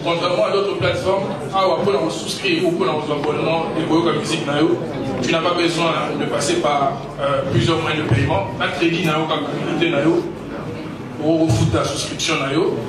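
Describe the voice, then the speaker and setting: Flat-voiced man in an echoey hall. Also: on the high side, brisk. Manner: flat, hesitant with fillers. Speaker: company representative. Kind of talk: product explanation. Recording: echoey hall, far mic, crowd.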